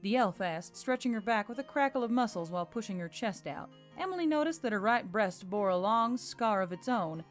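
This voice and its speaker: A woman's voice: medium pitch, animated, brisk, hardly any pauses. A woman reading scripted fantasy fiction as an audiobook narrator. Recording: music bed under the voice.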